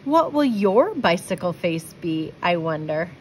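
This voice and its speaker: A woman's voice: on the low side, sing-song, measured pace, darkish tone, a little hiss or hum. A woman voicing a plucky, determined young girl from a picture book, bold and exuberant. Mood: joyful.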